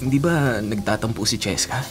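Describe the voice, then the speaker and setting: An actor with a Filipino accent playing a sickly, guilt-ridden younger brother in a radio drama, gentle and apologetic. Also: male voice, fairly low pitch, a little fast, lightly acted. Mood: guilty, grateful. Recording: music under the voice.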